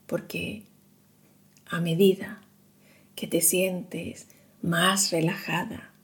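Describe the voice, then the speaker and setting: A woman guiding a meditation in a soothing, hushed manner, leaving long pauses between short phrases. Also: lowish voice, level tone. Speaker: meditation guide. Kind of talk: slow guided meditation narration.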